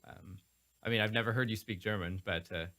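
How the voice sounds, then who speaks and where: A man's voice: on the low side, fairly quick, sharp tone. A man hosting a live stream, asking long rambling questions with many restarts. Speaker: host. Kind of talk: casual interview on a live stream.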